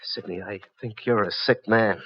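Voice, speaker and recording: An actor playing a frightened, mild former crook who pleads and stammers in an old radio crime drama. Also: male voice, on the low side, steady tone, moderate pace, lightly acted. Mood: uneasy. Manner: nervous, stammering. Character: frightened, pleading, mild male former accomplice turned bank cashier. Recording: noisy, dull old radio recording.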